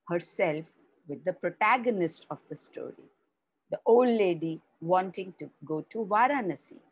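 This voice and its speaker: A woman reads a story aloud at an unhurried pace. Her voice is slightly husky and moves up and down expressively. She pauses to explain things, as if talking to children. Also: fairly low pitch, rough recording. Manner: slow, explaining, with rising emphasis on key words.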